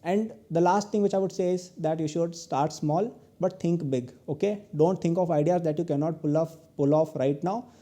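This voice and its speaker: Earnest young Indian man with a dark tone. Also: a little high, moderate pace, hardly any pauses. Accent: Indian English. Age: 20s. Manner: earnest advice, tags many lines with "okay?". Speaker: guest lecturer, entrepreneur. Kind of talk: recorded online lecture.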